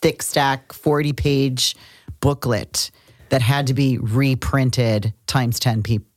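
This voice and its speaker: A woman's voice: rumbling low, steady tone, slow, dry recording, studio quality. A middle-aged woman co-hosting a podcast, talking casually and conversationally, often thinking aloud as she goes. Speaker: podcast co-host. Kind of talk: casual chat about astrology.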